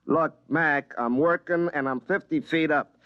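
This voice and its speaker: A man's voice: on the high side, unhurried, no echo, natural voice. An actor playing a flustered, folksy telephone lineman who rambles and stammers in a 1950s radio comedy. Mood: annoyed. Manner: rambling, muttering to himself, stammering. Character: flustered folksy young telephone lineman telling his own tale. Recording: muffled old-time radio recording with music.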